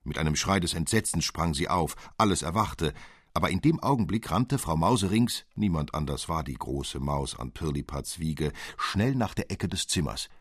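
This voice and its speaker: Low voiced guy reading a fairy tale audiobook, expressive, bit husky, dramatic. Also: moderate pace, dry recording, studio quality. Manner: dramatic, articulate. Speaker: narrator, audiobook reader.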